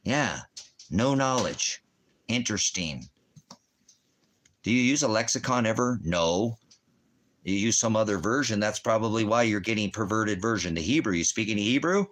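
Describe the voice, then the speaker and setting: Deep-voiced man teaching on a livestream. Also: animated, moderate pace, long pauses, a bit bright. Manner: blunt, challenging, asks and answers his own questions. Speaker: ministry teacher and livestream host. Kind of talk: rambling livestream Bible teaching and chat with commenters. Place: indoors at home by a fireplace.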